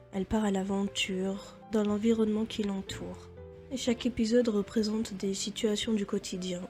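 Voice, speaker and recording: A woman's voice: medium pitch, dark tone. A young adult woman presenting a semi-scripted video commentary as its host.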